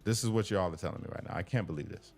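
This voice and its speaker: A man's voice: low-pitched, very fast, soft background music. A man hosting a live call-in stream, chatting casually, joking and teasing, reading chat comments aloud. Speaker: live show host. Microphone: headphones with computer mic.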